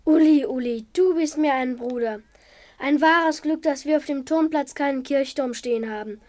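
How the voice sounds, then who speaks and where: Woman doing a precocious schoolboy, high voice, talks down like some pompous little uncle, radio play. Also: normal speed, darkish tone, close to an everyday voice. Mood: mock-solemn teasing. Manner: avuncular, mock-pompous. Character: Sebastian, precocious, condescending schoolboy know-it-all. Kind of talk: German radio play acting.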